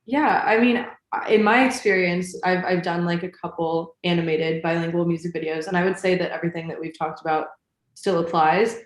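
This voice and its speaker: A woman's voice: lowish voice, fairly quick. Woman panelist in a roomy echo. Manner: relaxed, thinks aloud with fillers. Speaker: music video panelist. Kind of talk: casual panel answers.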